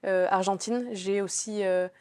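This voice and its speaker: A woman's voice: mid-range voice, fairly even intonation, unhurried, a little mellow, smooth, dry recording. A young woman chats through her answers in an easy, unpolished way, stopping and restarting often. Her voice sits in a middle range and is recorded close and dry. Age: young adult. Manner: chatty, many fillers and restarts. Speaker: business school student. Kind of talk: casual interview answers.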